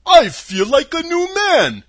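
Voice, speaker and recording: A man voicing a friendly, mournful, simple-minded scarecrow in a storybook, husky and hesitant, often opening with a drawn-out "uh". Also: very high-pitched, wildly swooping pitch, voice pushed up, exaggerated voice. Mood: grateful, curious. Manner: hesitant, opens phrases with drawn-out "uh".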